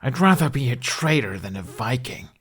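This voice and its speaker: A man's voice: mid-range voice, expressive, normal speed, slightly rough. A man voicing a furious, defiant teenage boy held captive in an audiobook, in a snarling, demanding manner.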